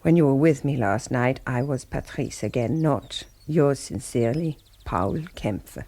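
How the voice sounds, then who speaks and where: Courteous ex-agent of the war, mid-pitched, formal and reflective, for radio drama letter narration. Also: male voice, expressive, measured pace, mellow. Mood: wistful, respectful. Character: courteous, wistful former German wartime agent.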